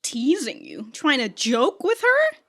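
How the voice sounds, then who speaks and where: A woman's voice: high-pitched, wildly swooping pitch, slow, a bit bright. A woman giving casual, slangy, sweary and giggly reaction commentary as host of a reaction video.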